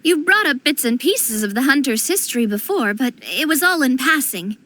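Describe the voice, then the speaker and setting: Chatty, high-voiced young lady. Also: full character voice. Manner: chatty, expressive, quick to react. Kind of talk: game cutscene dialogue. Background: game music.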